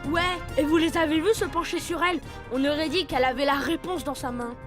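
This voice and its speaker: A woman voicing an excitable, incredulous schoolchild in a children's cartoon, with a French accent. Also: sits high, fairly quick, lightly acted. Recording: music behind the voice.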